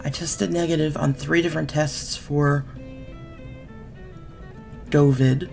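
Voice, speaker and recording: Low gravelly-voiced sick woman vlogging. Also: steady tone, slow pace, darkish tone, faint music behind. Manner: chatty, candid, mildly sarcastic. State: sick, losing her voice, deep cough. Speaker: beauty vlogger. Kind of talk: casual product unboxing chat. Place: small room at home, indoors.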